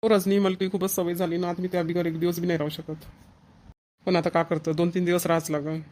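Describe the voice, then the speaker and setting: An actor as fast-talking, clingy young husband. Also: male voice, high-pitched, little pitch movement, darkish tone, natural voice. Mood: lovesick. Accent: Varhadi Marathi, Vidarbha. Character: devoted, clingy young newlywed husband, eager to help. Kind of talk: cartoon family comedy dialogue.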